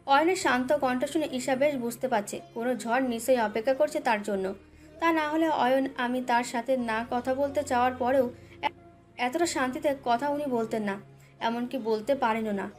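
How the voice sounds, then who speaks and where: A woman's voice: high voice, normal speed, soft background music. A woman narrating a scripted dramatic love story as its storyteller.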